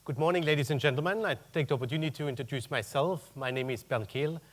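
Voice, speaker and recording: A man's voice: on the high side, quick pace. A middle-aged German man with a German accent giving a business talk, chatty and wry, with non-native phrasing and "huh?" asides to the audience. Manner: conversational, adds "huh?" tags to check in with the audience. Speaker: conference speaker, purchasing executive. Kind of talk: business presentation with slides. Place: conference room.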